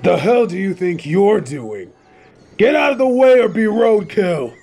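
A man's voice: high voice, big pitch swings, measured pace, dark tone, faint music behind, exaggerated voice. A man voicing a brash, menacing wolf bandit leader in an audio roleplay, taunting and swaggering as he orders his gang about. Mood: angry disbelief. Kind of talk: scripted fan audio roleplay acting.

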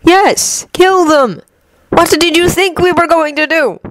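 A woman's voice: very high-pitched, slow pace, no room sound, voice pushed up. A woman reading a fan fiction audiobook voices a cold, scornful male schemer who talks in a menacing, persuasive way. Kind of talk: audiobook character voice.

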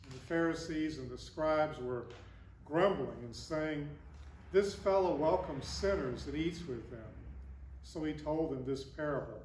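Older priest preaching in an echoey church. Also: male voice, on the high side, animated, a little slow, a little mellow, a little hiss or hum. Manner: conversational, gestures broadly while preaching. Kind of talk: gospel reading and sermon. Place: large wooden church with stained glass, indoors. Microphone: far camera.

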